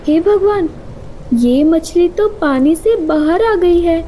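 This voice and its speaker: A small, kind and anxious female cartoon bird, played by a woman, speaks earnestly and pleadingly. The voice is high and mellow, with unhurried pacing. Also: animated, slight background noise, heavily acted. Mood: startled, curious.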